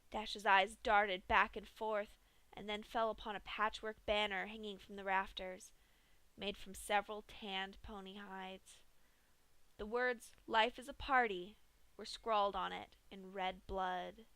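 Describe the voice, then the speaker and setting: A young woman reading a dark fan story aloud in an even storytelling voice. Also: mid-range voice, clean tone, dry recording, low-quality recording. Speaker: fanfic reader.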